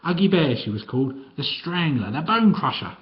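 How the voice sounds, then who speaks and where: A man's voice: a little high, big pitch swings, normal speed, dull audio, pitched above the performer's own voice. A man voicing a scrawny, sniggering old male petty crook in a storybook reading. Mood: chummy.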